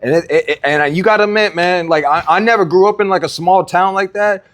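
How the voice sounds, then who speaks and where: A man's voice: high-pitched, a little fast, a bit bright. A man co-hosting a podcast, musing in casual chat and asking questions. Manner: conversational, asks questions, musing. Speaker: podcast co-host.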